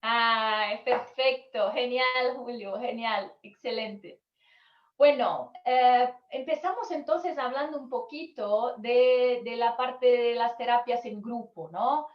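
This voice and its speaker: A woman's voice: a little high, slow, reverberant, highs cut off. A Brazilian woman whose first language is Portuguese, giving an online conference lecture in careful, hesitant second-language Spanish over a video call.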